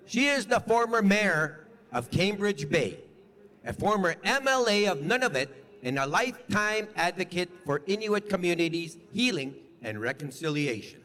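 A man speaks solemnly to the public as an event co-host, reading from a script. His voice sits high for a man and moves with a lively, wide-ranging melody. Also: normal speed.

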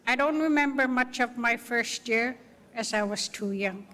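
An older woman from Arctic Canada giving measured personal testimony as a guest speaker. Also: a little high, a bit bright. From Arctic northern Canada. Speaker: guest speaker, residential school survivor. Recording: long reverb of public-address sound.

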